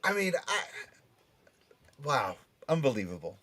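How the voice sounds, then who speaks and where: A man hosting a casual show excitably, with showy announcer flourishes and repeated phrases for emphasis. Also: mid-range voice, a little slow. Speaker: podcast host.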